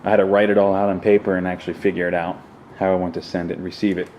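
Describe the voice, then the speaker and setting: A man's voice: deep voice, level tone, rapid-fire, highs cut off. A man giving a casual, unscripted walkthrough in an offhand way, speaking in short clipped fragments. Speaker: vlogger showing a home podcast setup. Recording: clearly noisy room recording.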